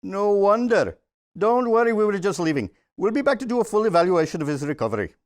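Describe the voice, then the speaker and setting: A man reading the part of a brisk, reassuring hospital doctor at a comedy table read. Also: high voice, very animated, a little mellow, dry recording.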